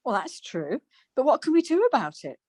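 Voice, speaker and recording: A woman's voice: high-pitched, sing-song, brisk, darkish tone, no echo, clean studio sound. A woman acting a scatty, easily exasperated wife in a domestic radio comedy. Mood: resigned. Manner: flustered, snippy.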